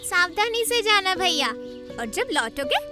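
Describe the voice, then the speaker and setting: A woman voicing a sweet, eager little sister in a cartoon story, childlike and coaxing, with drawn-out words. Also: very high voice, sing-song, sharp tone, faint music behind, full character voice. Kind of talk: Hindi cartoon story acting.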